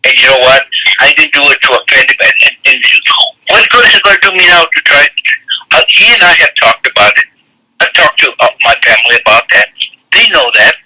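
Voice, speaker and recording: A man in his eighties, a military veteran, talking defensively on the phone in a halting, repetitive, emphatic way. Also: a little high, lively intonation. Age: 80s. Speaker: military veteran being confronted. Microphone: telephone. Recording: noisy, clicky phone call recording.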